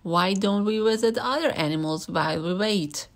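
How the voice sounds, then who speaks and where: A gentle, easygoing man acted out by a woman in a children's storybook. He is a friendly companion who speaks in kind suggestions. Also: mid-range voice, normal speed, lightly acted. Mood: reassuring.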